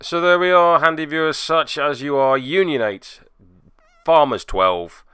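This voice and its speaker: A man's voice: on the high side, a little slow, fairly bright tone, clean tone, no room sound, studio quality. A man giving wry, self-deprecating voice-over commentary on a tabletop game, with joking asides, as its host.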